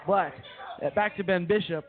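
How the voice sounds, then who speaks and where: A man's voice: high-pitched, normal speed. A man co-hosting a live radio show, chatting casually about sports. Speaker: radio co-host. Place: brewery venue, live broadcast. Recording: thin phone-like sound with crowd chatter.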